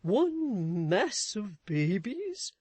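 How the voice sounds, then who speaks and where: A woman voicing a flustered, meek middle-aged husband who talks slowly and sheepishly. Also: mid-range voice, sing-song, warm tone, no room sound, voice pushed up. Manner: slow, hesitant, sheepish.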